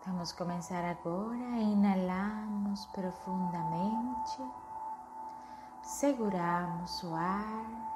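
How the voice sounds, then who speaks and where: A woman leading a guided meditation in a soothing, unhurried manner, gently giving instructions. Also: medium pitch. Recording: echoey, with music underneath. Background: music.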